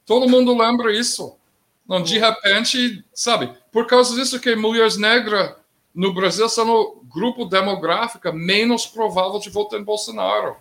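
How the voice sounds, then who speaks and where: A middle-aged man speaking Portuguese with a non-native accent, commenting as a guest in a political discussion, plain-spoken with halting grammar. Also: sits high, gravelly, fairly bright tone. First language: not Portuguese.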